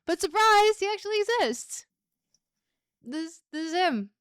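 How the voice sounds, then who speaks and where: Woman doing a video essay, high smooth voice, super dry close sound, slangy and wry. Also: animated, measured pace, dry recording. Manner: wry, slangy, emphatic delivery with playful dramatic emphasis. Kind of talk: casual scripted video essay commentary on philosophy.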